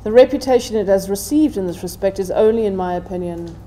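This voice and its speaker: A middle-aged woman delivering a prepared seminar talk as a panelist, with measured, deliberate phrasing. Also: mid-range voice, dark tone. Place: indoor seminar room, seated at table.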